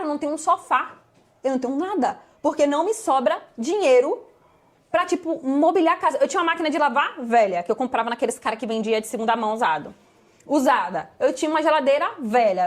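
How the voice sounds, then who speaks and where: A Brazilian woman from Bahia with a smoothed São Paulo accent, a guest telling her life story in an animated, emphatic way that repeats phrases for effect. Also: high-pitched. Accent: Brazilian Portuguese, São Paulo-smoothed. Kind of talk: conversational interview storytelling.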